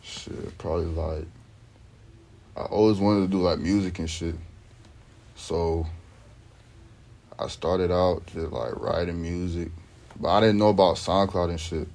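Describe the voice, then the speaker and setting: A man's voice: sits low, steady tone, measured pace, pauses often, darkish tone. A young man from Sweden, a rapper, chatting in a laid-back, slangy way as the interview guest. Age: young adult. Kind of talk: casual interview chat.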